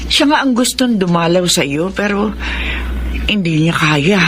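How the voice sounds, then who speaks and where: A woman's voice: lowish voice, lively intonation, unhurried. An elderly, reproachful mother, noisy recording. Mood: pleading. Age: older. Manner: emotional, guilt-tripping. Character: elderly pleading, reproachful mother of a grown daughter. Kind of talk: radio drama acting.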